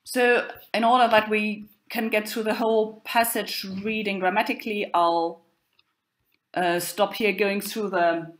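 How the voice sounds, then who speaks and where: Woman lecturer talking slow, lots of ums, echoey room. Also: mid-range voice, steady tone. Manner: unhurried, many ums and uhs. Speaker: university lecturer. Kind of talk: lecture on medieval drama.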